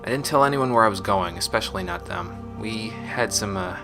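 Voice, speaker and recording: A man playing a resolute, guilt-burdened space marine, recording a measured, solemn confessional audio log. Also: on the low side, lightly acted. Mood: grave, regretful. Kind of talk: scripted sci-fi audio log monologue. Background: music.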